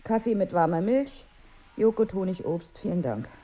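An actress playing a prim, ironic wife on holiday in a radio play, with a husky voice and a dry, wry manner. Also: female voice, on the low side, animated, moderate pace, dark tone. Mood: polite, musing.

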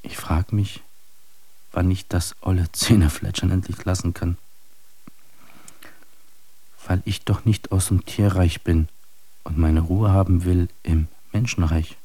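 Radio play: lonely, weary seeker, very low dark voice, plaintive brooding delivery, dry studio sound. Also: male voice, steady tone, unhurried, pauses often, dry recording. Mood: yearning, troubled. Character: weary, lonely man searching for redemption. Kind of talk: literary radio drama monologue.

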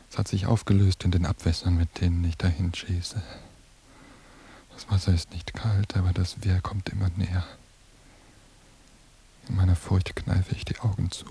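Fearful young man, low creaky voice, breathy intense inner monologue, nightmarish, radio drama. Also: dark tone, a little hiss or hum. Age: young adult. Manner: intense, breathy, haunted, measured narration.

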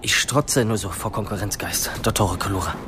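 A man's voice: fairly low pitch, normal speed, sharp tone, slight background noise. An actor playing an eager, cheeky but awkward seventeen-year-old boy in a radio drama, over-earnest and prone to stumbling. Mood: eager, polite.

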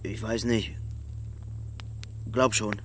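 A man's voice: lowish voice, level tone, extremely slow, fairly bright tone, soft background music. A man acting in a German radio drama as a laconic, placid, loyal young man. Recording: faint mains hum behind.

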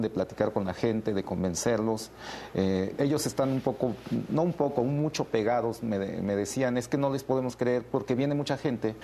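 Mexican guy, film director being interviewed, medium pitch, casually telling stories, slight background noise. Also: creaky, dark tone. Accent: Mexican Spanish. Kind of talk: casual interview, explanatory storytelling.

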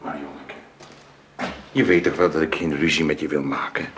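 A man's voice: a bass voice, fairly even intonation, moderate pace, noisy background. An actor playing a sulky, possessive painter wooing a woman in a radio drama, insistent and teasing, repeating himself. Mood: coaxing.

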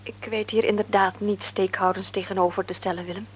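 A woman's voice: medium pitch, little pitch movement, a little fast, a little hiss or hum, natural voice, rough recording. An actress playing a middle-aged devout mother in a radio play, pleading and often dropping to a whisper. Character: devout mother, gentle but firm in faith, middle-aged woman. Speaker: mother and wife.

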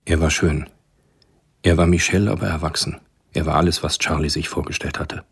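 An actor narrating a German radio spy thriller in a measured, scripted style. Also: male voice, very deep voice, steady tone, creaky, breathy edge, full character voice.

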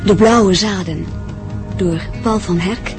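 A man's voice: sits high, takes it slowly. A man announcing radio drama titles in a dramatic style. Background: loud music.

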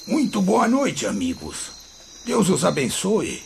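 Folksy rural Brazilian father, swooping gravelly voice. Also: high voice, huge pitch swings, slow, full character voice. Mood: kindly, devout. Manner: warm, folksy, rustic speech. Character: humble, devout rural labourer, kindly father spirit visitor. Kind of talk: radio drama acting. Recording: faint noise with crickets behind.

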